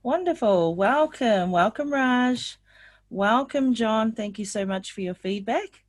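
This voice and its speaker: A woman's voice: on the high side, lively intonation, slow, dry recording. An older woman from New Zealand presenting an online sales webinar, upbeat and asking her audience lots of questions.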